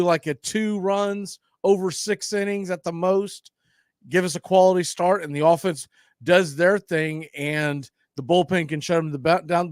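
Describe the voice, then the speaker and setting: A man's voice: high-pitched, dry recording, clean studio sound. A man hosting a sports livestream, giving casual pregame commentary. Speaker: radio show host and play-by-play announcer.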